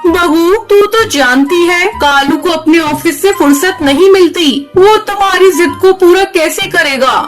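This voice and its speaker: A woman's voice: extremely high pitch, unhurried, few pauses. A woman voicing a motherly crow mother-in-law in a Hindi children's cartoon, coaxing and practical. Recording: music bed behind voice.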